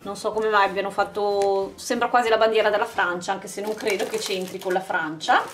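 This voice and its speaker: Female craft vlog host, medium pitch, informal item-by-item unboxing narration, music underneath. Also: fairly even intonation, a little fast. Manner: informal, showing items one by one. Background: background music.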